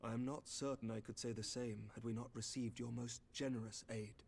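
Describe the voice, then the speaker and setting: Casting: noble lord from a game, measured formal oratory, even middle voice, read by a man. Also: mid-range voice, vocal fry, slightly rough. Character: noble male lord giving a formal speech.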